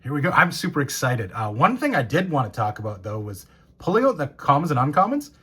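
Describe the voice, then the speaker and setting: Shop-owner host, 30s, male, hoarse and husky after a cold, lively pitch, excited casual unboxing patter. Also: fast. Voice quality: raspy. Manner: enthusiastic, reads card names and rules aloud. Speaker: game store owner. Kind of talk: casual box-opening commentary.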